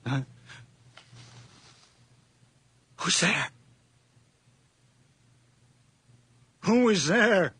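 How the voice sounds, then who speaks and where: A frail old man in a radio play: keep the voice higher and bright but husky and dry, speak slowly, and let the fear creep in. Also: animated, no room sound, heavily acted. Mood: startled. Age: older. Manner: brooding and weary, breaking into frightened cries. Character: frail, fearful old widower awake at night. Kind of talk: 1980s radio drama acting.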